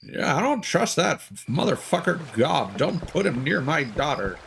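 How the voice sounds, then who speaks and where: A man's voice: fairly high pitch, sing-song, moderate pace, gravelly, fairly bright tone, a little hiss or hum. A man doing casual, joking, sweary commentary on a gaming livestream. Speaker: game streamer. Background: game music.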